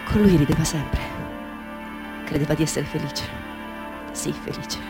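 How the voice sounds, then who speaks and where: An older mother reminisces in a radio play over clearly audible music. She speaks wistfully and haltingly, doubting her own memory and correcting herself as she goes. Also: low-pitched. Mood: wistful, tender. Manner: hesitant, self-correcting, wistful, questioning herself. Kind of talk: radio drama monologue. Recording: music under the voice.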